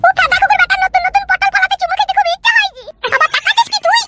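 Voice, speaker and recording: A woman voicing a shrill, sarcastic, foul-mouthed village wife in a comic cartoon. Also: rapid-fire, over music. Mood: furious, threatening. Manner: scolding, sarcastic.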